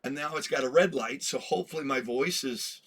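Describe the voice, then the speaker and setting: A slightly hoarse man hosting a comic book vlog, chatty and self-deprecating, laughing at his own slips. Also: medium pitch, brisk, fairly bright tone. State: a little hoarse. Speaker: comic collector host. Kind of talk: rambling casual vlog.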